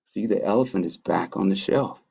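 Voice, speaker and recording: A man reading picture books aloud to children as a library storyteller, expressive and adding his own asides about the pictures. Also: deep voice, fairly quick, creaky, breathy edge. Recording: dull lo-fi audio.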